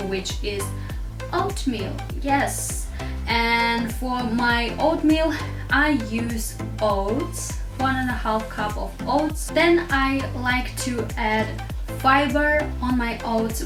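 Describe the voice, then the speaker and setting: Woman, late 20s, raised, English, upbeat vlogger, slightly high voice, roomy echo, faint music. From Russia. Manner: chatty, upbeat, hesitant non-native phrasing. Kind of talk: casual talk to camera and cooking voice-over.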